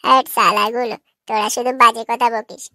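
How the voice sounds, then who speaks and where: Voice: mid-range voice, little pitch movement, brisk, sharp tone, no echo, clean studio sound. A performer voicing a grumpy, lecherous old village grandpa in a cartoon, drawling and scolding. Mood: grumbling.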